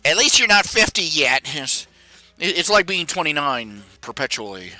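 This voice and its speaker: A man in his forties chatting casually on a live stream, rambling and grumbling in a self-deprecating way while he fiddles with software. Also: a little high, sing-song, normal speed, soft background music. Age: 40s.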